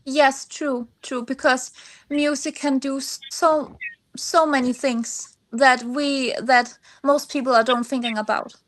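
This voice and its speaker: A woman's voice: high voice, steady tone, a bit bright. A woman from Denmark with a Danish accent, answering interview questions conversationally and searching for words in her second language. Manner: halting, searching for words in non-native English. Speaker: singer-songwriter, podcast guest.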